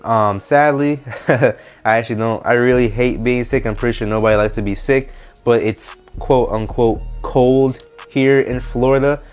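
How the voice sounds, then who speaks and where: A man's voice: mid-range voice, steady tone, normal speed, smooth, faint music behind. A man with a stuffy, nasal voice from a head cold, giving casual money advice straight to his viewers in a vlog. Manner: direct, talks straight to viewers. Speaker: finance YouTuber.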